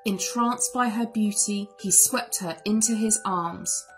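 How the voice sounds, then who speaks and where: A woman narrating a children's story aloud in an expressive storytelling style. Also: medium pitch, a little slow. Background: music.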